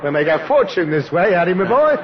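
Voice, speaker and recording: An actor playing a brash, cocky, smooth-talking car thief in an old-time radio drama. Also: male voice, sits high, a little fast. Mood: cocky, excited. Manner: brash, bluffing, animated. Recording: muffled, noisy old radio recording.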